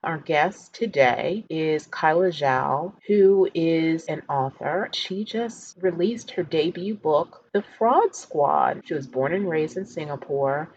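A woman's voice: deep voice, lively intonation, slow, hardly any pauses, a little mellow, muffled recording, a little hiss or hum. A woman podcast host introducing a show in a conversational, appreciative way. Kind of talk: podcast introduction, chatty with some reading from notes.

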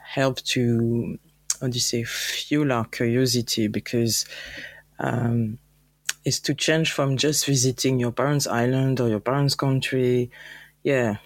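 A woman with a French accent, a guest founder on an interview podcast, talking upbeat and animated and sometimes searching for words. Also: very deep voice, measured pace, no echo. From France. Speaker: guest, travel company founder. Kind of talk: conversational interview.

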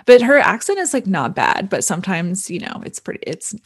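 A woman's voice: medium pitch, big pitch swings, brisk, slightly rough, dry recording, studio quality. A woman in casual conversation about movies, hedging with fillers like "like" and "you know" and giggling often. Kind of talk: casual film discussion.

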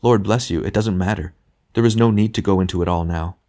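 A man's voice: lowish voice, fairly quick, lightly acted. Man playing a serene, patient redeemed spirit. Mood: frank, kindly. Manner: calm, patient, gently urging. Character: serene, joyful male redeemed murderer spirit, younger, gently frank. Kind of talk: literary audiobook character dialogue.

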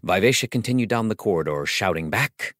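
A man's voice: fairly low pitch, expressive, fairly quick, a bit bright, no room sound, clean studio sound. A man narrating a comic story as a scripted audiobook, theatrical and wry, savoring his phrases.

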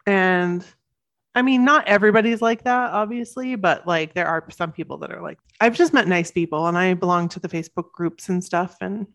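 Sniffly woman co-host, eager chatty rambling, kinda low voice. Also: fairly bright tone. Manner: chatty, enthusiastic, quick asides. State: sniffly from cold. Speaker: podcast co-host. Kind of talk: casual chat.

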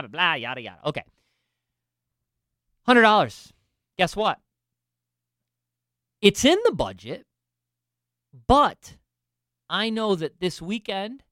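A man's voice: a little high, bright, forceful tone, clear, resonant tone, no room sound, studio quality. A man in finance telling a self-deprecating story in casual chat, with lots of restarts and asides. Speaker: finance professional.

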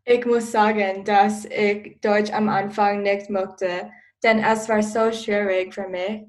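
Slow, flat teen girl. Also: medium pitch. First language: Chinese. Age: teens. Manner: careful, asks questions. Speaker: student podcaster. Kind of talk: casual chat.

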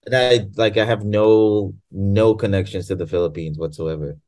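A man's voice: lowish voice, steady tone, normal speed, darkish tone. A man from Tanzania chatting casually and animatedly over a video call, laughing and swearing. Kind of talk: casual chat.

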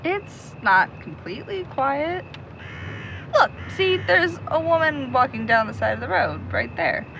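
A woman's voice: high-pitched, sing-song, measured pace, creaky, noisy background, heavily acted. An actress playing a determined, blunt young woman searching for a friend in a naturalistic audio drama. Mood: dismissive. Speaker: actor in a supernatural mystery audio drama.

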